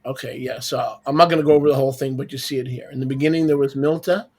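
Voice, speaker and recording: Male religious teacher, medium pitch, didactic and emphatic through repetition, informal online study session. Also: steady tone, very fast, darkish tone, breathy edge. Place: online video call with screen sharing.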